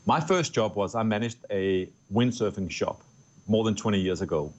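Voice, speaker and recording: Low-voiced Danish man on dull audio. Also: moderate pace, darkish tone. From Denmark. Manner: earnest, with frequent restarts and repeated words. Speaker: tech company executive guest. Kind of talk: interview answers.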